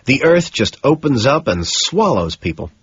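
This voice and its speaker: A man's voice: medium pitch, big pitch swings, moderate pace, slightly rough, no echo, highs cut off, pitched above the performer's own voice, full character voice. A man voicing a vain, evasive young Wall Street businessman in an audiobook. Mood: resigned. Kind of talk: audiobook character dialogue.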